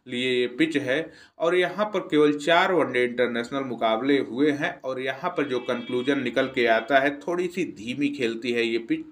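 A young man at middle pitch gives a brisk, informative cricket pitch report as a voice-over. He talks straight to his viewers and calls them friends, like a channel host running through the stats. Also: a bit bright.

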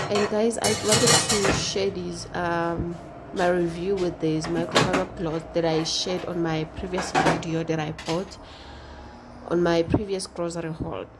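Casting: woman homemaking vlogger, very level intonation, conversational and halting, over a clearly noisy home recording. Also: lowish voice. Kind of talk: casual chatty voice-over to viewers.

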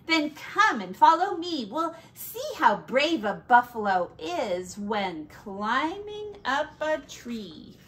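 A woman's voice: sits high, big pitch swings, slow pace, few pauses, fairly bright tone, far from the mic, a little hiss or hum, heavily acted. A woman voicing a proud, competitive male bear in a storybook, bragging with emphasis. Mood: challenging.

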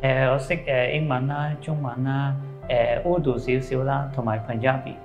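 A man in his thirties from Pakistan, speaking slowly and carefully with fillers in a seated interview in a classroom. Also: medium pitch, fairly even intonation, muffled recording, soft background music. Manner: slow, measured with fillers. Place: indoor classroom.

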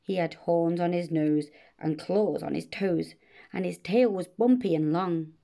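A woman reading a rhyming children's bedtime story in a measured, expressive way. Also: fairly low pitch, gravelly. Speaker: storybook reader.

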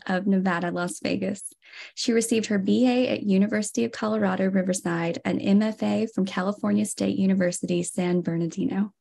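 A woman's voice: medium pitch, vocal fry, mellow. A woman in her thirties, an enthusiastic host introducing artists on a video call from a room at home. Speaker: gallery curator and host. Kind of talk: welcoming introduction, reading artist bios.

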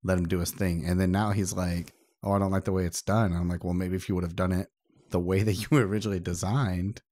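A man's voice: deep voice, little pitch movement, quick pace, a little mellow, no echo, clean studio sound. A man co-hosting a podcast in casual, conversational banter. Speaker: podcast co-host.

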